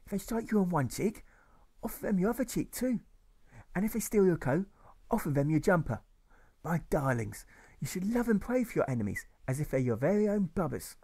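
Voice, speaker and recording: A man voicing a gentle, pious young woman teaching Sunday school in an audiobook story, sweetly preaching. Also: sits high, big pitch swings, brisk, pitched above the performer's own voice, heavily acted.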